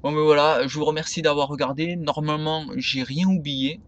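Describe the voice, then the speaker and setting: A man's voice: fairly high pitch, steady tone, fairly quick. A man giving casual, unscripted game commentary, rambling and stumbling over his words. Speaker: gaming video creator. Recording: noisy home recording.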